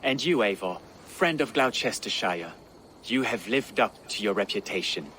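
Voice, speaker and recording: Solemn proud lord, low pitch, formal phrasing, faint background noise, cinematic game. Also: male voice, animated, normal speed, a bit bright, poor audio. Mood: grave. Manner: formal, restrained. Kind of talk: dramatic game cutscene acting.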